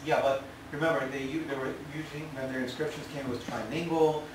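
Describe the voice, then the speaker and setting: A man's voice: medium pitch, fairly even intonation, a little fast. A man, a history lecturer, answering audience questions in an emphatic way and often checking with "right?". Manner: emphatic, asks "right?" for agreement. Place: large echoey lecture hall. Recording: echoey hall, far-off, noisy.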